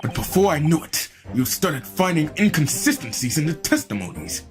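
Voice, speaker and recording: A composed young lawyer offers wry encouragement in a smooth, lilting voice, as a woman reads him from a game. Clear game music runs underneath. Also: low voice, very animated, moderate pace, voice pushed down. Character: confident young male lawyer friend, calm and wry.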